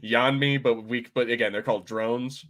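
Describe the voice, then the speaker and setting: A man hosting a casual podcast discussion, explaining things in an informal, rambling and halting way. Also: medium pitch, fairly even intonation, fairly bright tone. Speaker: podcast host. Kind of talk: casual pop-culture discussion, explaining game lore.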